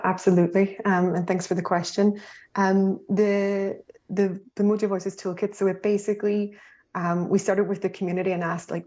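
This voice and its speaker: Earnest woman panelist, flat mellow voice, lots of restarts, bit lo-fi. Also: medium pitch. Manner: earnest, many restarts and fillers. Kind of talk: panel discussion answers.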